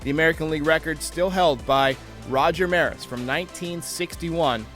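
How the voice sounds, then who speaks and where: A man's voice: on the high side, moderate pace, fairly bright tone, faint music behind. A man hosting a baseball fan show, delivering sports commentary and previews. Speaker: host of a baseball fan channel.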